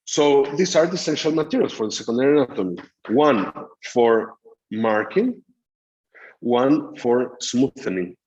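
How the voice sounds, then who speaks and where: Halting middle-aged man teaching a class. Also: mid-range voice, animated, darkish tone, lo-fi sound. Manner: explanatory, halting with frequent fillers. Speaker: dental lecturer. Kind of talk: recorded master class lecture.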